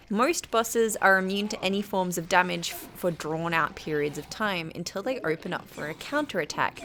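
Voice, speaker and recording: British woman narrating, slightly creaky voice. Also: mid-range voice, a bit bright, a little hiss or hum. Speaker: video essay narrator. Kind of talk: scripted game review narration.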